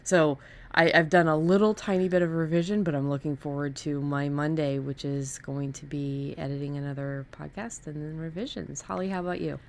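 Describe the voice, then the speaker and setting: A woman with a low voice hosts a podcast. She talks in a casual, conversational way, as in an informal chat. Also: steady tone, fairly quick, hardly any pauses. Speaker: podcast host.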